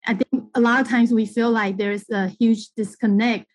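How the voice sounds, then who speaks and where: Higher-pitched middle-aged woman from Taiwan, halting. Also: steady tone, no echo. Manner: halting, conversational, punctuated with "you know". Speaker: political candidate, interview guest. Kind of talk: casual campaign interview talk.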